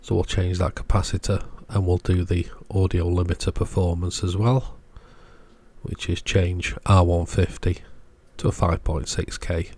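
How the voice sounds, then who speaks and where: A man narrating an electronics repair walkthrough as host, in a measured, matter-of-fact way. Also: low voice, little pitch movement, darkish tone, slightly rough.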